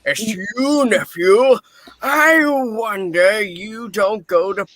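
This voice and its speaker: Miserly old male boss for a radio play, man acting: high, bright, slow-paced, irritable and scornful. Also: no echo. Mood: angry, dismissive. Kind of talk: dramatic play reading, radio-play style.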